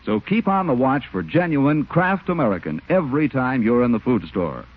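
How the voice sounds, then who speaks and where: A man's voice: medium pitch, sing-song, normal speed. A man reading scripted announcements on 1940s radio in a polished, emphatic salesman's delivery. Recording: tinny, narrow-band 1940s radio recording.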